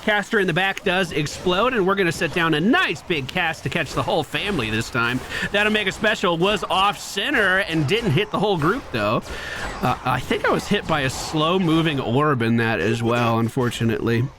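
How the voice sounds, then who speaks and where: A man's voice: fairly high pitch, lively intonation, moderate pace, music in the background. A man streaming a video game with casual, chatty commentary, explaining things with playful slang.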